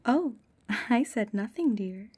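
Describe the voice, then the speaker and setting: A woman playing a sly, sharp-tongued Norse goddess in an ASMR roleplay audio drama. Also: fairly high pitch, expressive, slow pace, breathy edge, exaggerated voice. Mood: sly teasing.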